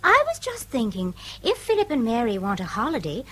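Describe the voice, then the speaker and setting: An actress playing a gracious, well-to-do young wife in a radio drama, genteel in manner. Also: female voice, fairly high pitch, huge pitch swings, a little fast, pushed and bright, slightly rough, full character voice. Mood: gracious, inviting. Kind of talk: British radio drama acting.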